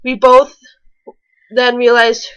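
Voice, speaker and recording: A woman's voice: on the high side, monotone, slow pace, a bit bright, smooth, lo-fi sound. A woman reading a story aloud, stumbling and rereading lines as she goes and dropping in mocking asides. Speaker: reader on a fan fiction reaction show.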